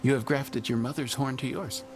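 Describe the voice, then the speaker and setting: An actor playing a gentle Qunari man, speaking in a measured, formal way. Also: mid-range voice, steady tone, close to an everyday voice. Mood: calm. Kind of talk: video game cutscene dialogue. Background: music.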